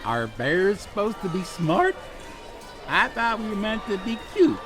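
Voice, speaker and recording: A man's voice: high-pitched, big pitch swings, unhurried, music in the background. A man voicing a cute, innocent and slightly dim teddy bear in a comic audio drama, hesitant and easily sidetracked. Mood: defensive, innocent.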